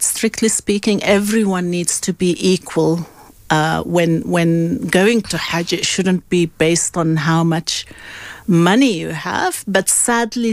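Lower-pitched woman speaking conversationally. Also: no room sound. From Sudan. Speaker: interview guest. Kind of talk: conversational explanation with personal recollection.

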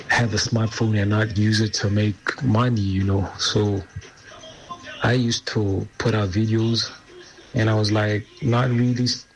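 A man, hoarse and raspy from the flu, chatting casually as a radio co-host. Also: lowish voice, low-quality recording. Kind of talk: casual chat.

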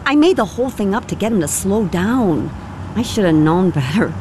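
An actress playing a worried, exasperated teenage sister in a radio drama, over loud background noise. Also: female voice, mid-range voice, expressive, moderate pace, natural voice. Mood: annoyed. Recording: loud vehicle and ambience noise.

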